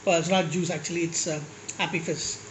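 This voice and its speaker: A man with a slightly high-pitched voice, ranting casually to his vlog audience in a rambling, blunt way and swearing at critics. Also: steady tone, brisk, background noise. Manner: rambling, with restarts and asides. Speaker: food vlogger. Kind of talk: casual rant to camera while eating.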